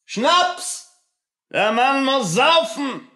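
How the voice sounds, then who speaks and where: A swaggering, drunken drum major from a radio play. He pushes his voice high and sharp, bellowing like a boastful bully. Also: sing-song, very slow, full character voice. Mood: drunken bragging. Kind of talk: dramatic radio play acting.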